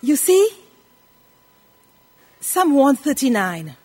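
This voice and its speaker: A woman's voice: sits high, sing-song, extremely slow, a little mellow. A woman in her eighties preaching a scripture lesson, emphatically repeating phrases and putting questions to her listeners. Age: 80s. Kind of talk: sermon teaching from scripture.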